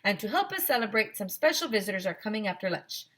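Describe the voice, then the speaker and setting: A woman's voice: medium pitch, big pitch swings, quick pace, fairly bright tone, voice pushed up. A woman voicing a proud male school principal making emphatic announcements in a storybook. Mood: announcing.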